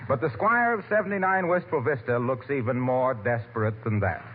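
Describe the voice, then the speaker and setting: A man's voice: mid-range voice, a little fast. A man announcing in scripted 1940s radio style, arch, theatrical and polished. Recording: tinny, noisy old-time radio recording.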